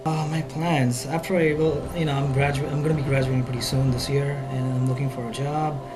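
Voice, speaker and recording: A man's voice: medium pitch, flat delivery, a little fast, music in the background. A man from India, a student about to graduate, answering interview questions fluently and saying "you know" often.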